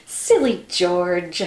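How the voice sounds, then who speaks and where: Young woman, children's read-aloud host, high and highly expressive, unhurried, full of wonder, slightly roomy indoor sound. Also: a little hiss or hum. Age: young adult. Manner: exaggerated wonder, sing-song emphasis. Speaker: teacher reading storybooks to children. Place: small room indoors by a window.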